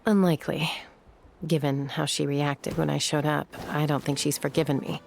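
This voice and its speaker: Low-voiced, cool-headed young woman peacekeeper. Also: little pitch movement, normal speed, vocal fry, darkish tone, slight background noise. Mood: resolute, businesslike. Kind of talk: video game voice acting.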